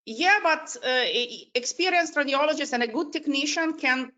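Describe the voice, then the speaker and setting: Higher-voiced woman with a foreign accent. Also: bright, forceful tone, dull audio. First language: not English. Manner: halting, repeats words for emphasis. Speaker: surgeon on a discussion panel. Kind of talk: spontaneous expert answer. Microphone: online video call.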